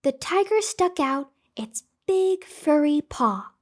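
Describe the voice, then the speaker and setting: A woman narrating a scripted children's bedtime story as host, with careful, expressive enunciation. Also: high-pitched, slow pace.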